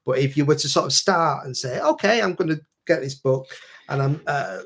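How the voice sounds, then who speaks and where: A man's voice: a little high, moderate pace, slightly rough. A man hosting a live stream, asking questions with many fillers and repeated words. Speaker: host, guitar teacher. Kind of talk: casual live stream chat.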